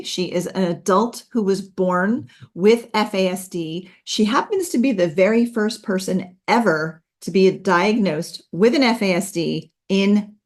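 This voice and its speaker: Woman podcast host, mid-pitched, chatty and upbeat. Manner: chatty, upbeat, many ums. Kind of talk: casual podcast talk.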